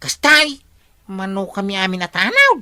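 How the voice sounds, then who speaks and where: A woman with an Ilocano accent playing a brisk, cheeky member of a village night watch in a radio comedy. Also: mid-range voice, wildly swooping pitch, pushed and bright, no room sound, studio quality, exaggerated voice. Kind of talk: comedy radio drama acting.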